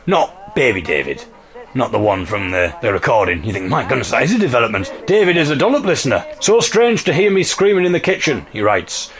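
A man with a slightly husky voice hosting a podcast, telling a story conversationally with enthusiastic, emphatic delivery and dramatic repetition. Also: medium pitch, very animated, fairly quick, a bit bright. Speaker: podcast host.

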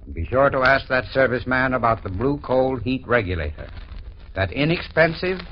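Earnest vintage radio pitchman, muffled sound. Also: very animated, moderate pace. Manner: formal, earnest salesmanship. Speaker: sponsor's spokesman, heating expert. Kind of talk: 1930s scripted radio advertising. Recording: muffled, hissy old radio broadcast.

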